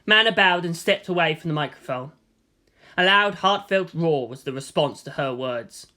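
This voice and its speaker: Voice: medium pitch, lively intonation, moderate pace, sharp tone. A narrator reading fan fiction aloud as scripted drama, with a theatrical, solemn delivery. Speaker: story narrator.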